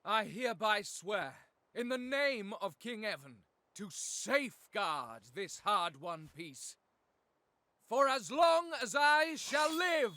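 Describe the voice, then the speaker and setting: A proud young king with a high, bright, ringing voice. He declaims slowly and solemnly, like a stately game cutscene oath. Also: very animated, no echo, exaggerated voice. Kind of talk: video game cutscene acting.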